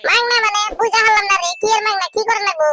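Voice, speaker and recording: A man voicing an inquisitive, impatient village friend in a comedy cartoon, with a rural Bangladeshi accent and a squeaky pitched-up voice. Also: fast, heavy background noise. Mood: curious.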